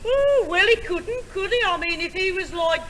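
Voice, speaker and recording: A woman's voice: very high voice, expressive, normal speed, fairly bright tone. An actress playing a sympathetic, easily shocked gossiping woman in a 1950s stage sketch, cooing and exclaiming. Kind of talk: 1950s live revue sketch comedy. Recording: noisy 1950s live theatre recording.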